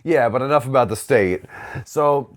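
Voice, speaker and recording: A man's voice: medium pitch, moderate pace. Rambling man in casual podcast chat. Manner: rambling, peppered with "like" and swearing. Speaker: podcast co-host. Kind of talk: casual comedic movie-recap chat.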